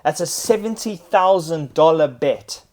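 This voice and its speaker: An excitable man co-hosting a casual podcast. He swears freely and gushes with enthusiasm. His voice sits a little high for a man. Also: a little slow. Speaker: podcast co-host.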